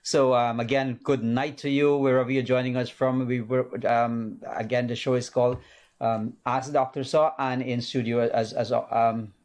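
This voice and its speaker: A man's voice: medium pitch, level tone, normal speed, a little mellow. A man hosting a live call-in medical show in a studio, talking casually with many fillers and restarts. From Guyana.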